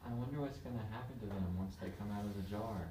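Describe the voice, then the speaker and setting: A man's voice: lowish voice, fast talker, dark tone. A male student listener answering a read-aloud with wondering questions. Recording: roomy, distant mic, faint noise.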